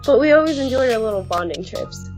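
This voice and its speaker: An actress playing a friendly young college student among survivors in a comic dub. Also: female voice, on the high side, lively intonation, fast, rough recording. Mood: nostalgic. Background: loud background music.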